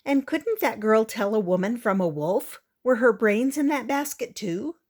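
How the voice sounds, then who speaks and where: A woman's voice: fairly high pitch, animated, unhurried, a little mellow, voice pushed up. A woman voicing an outspoken, scornful schoolgirl in a storybook, challenging and declarative. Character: outspoken, scornful schoolgirl who scoffs at fairy tales.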